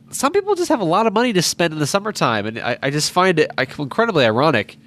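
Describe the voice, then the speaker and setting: Stuffy-nosed nineteen-year-old podcast host. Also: male voice, on the high side, fairly bright tone. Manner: rambling, many restarts and asides. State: stuffed up nose from allergies. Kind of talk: casual solo podcast monologue. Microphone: podcast microphone.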